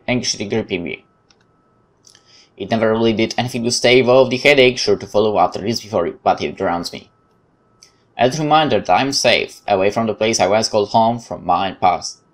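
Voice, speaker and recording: A man with a Polish accent hosts a game playthrough in a fairly low voice. He reads the story text aloud with some drama, stumbles now and then, and slips into casual asides. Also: fairly quick. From Poland. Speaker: let's play host.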